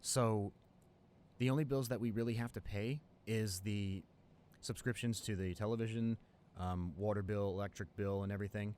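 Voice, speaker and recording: A man's voice: fairly low pitch, fairly even intonation, normal speed, a little mellow, slight background noise, lightly acted. A man playing a devoted, hopeful college boyfriend in an improvised ASMR roleplay, talking earnestly and affectionately to a partner. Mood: earnest, practical. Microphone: close studio condenser microphone.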